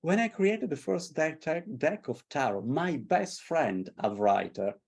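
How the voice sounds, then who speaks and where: A man's voice: on the high side, a little slow, gravelly, husky. A middle-aged man from Italy with a strong Italian accent, telling stories with passion in a casual conversation. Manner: passionate, animated storytelling. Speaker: artist and tarot creator. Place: London.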